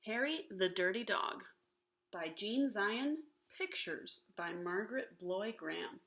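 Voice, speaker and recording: A woman reading a children's picture book aloud expressively, animated and slipping in hushed asides to the listeners. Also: mid-range voice, slow pace, husky. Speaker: storybook reader.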